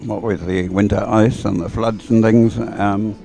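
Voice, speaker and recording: A man's voice: on the low side, steady tone, a little slow, vocal fry, dark tone, soft background music. A man giving casual, unscripted live sports commentary in a hesitant, musing way.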